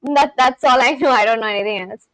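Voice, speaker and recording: A woman's voice: a little high, fast, fairly bright tone, no room sound, rough recording. A woman in her twenties with an Indian English accent, chatting casually on a live stream and reading viewer comments aloud. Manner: chatty, reads viewer comments aloud, frequent likes and laughs.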